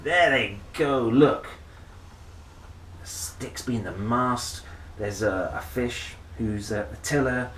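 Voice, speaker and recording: A man reading a children's picture book aloud in a playful, expressive manner, with chatty asides. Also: medium pitch. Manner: playful, expressive, dramatic pauses and exclamations. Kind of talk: animated storybook read-aloud with chatty asides. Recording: roomy echo, faint background noise.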